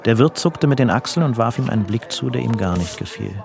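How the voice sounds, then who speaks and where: A man's voice: mid-range voice, expressive, quick pace, gravelly, darkish tone, soft background music. A man narrating a literary radio drama from a script.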